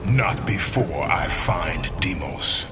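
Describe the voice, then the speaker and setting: An actor playing a rage-driven, brooding Spartan warrior in a video game, grim, forceful and defiant. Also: male voice, animated, slow. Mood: cold, defiant. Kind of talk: video game cutscene acting. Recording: loud music and rumble behind.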